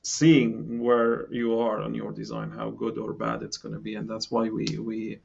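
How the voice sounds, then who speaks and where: A man's voice: fairly low pitch, monotone, a little slow, creaky, darkish tone, dull audio, a little hiss or hum. A middle-aged man from Palestine, a guest in a podcast interview, talking with many fillers and restarts. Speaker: engineering guest.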